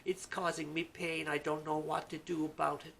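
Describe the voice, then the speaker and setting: A man's voice: fairly high pitch, level tone, moderate pace, vocal fry, fairly bright tone, slight background noise, pitched above the performer's own voice. A man voicing a troubled young village barber burdened by a secret he cannot tell. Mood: anxious.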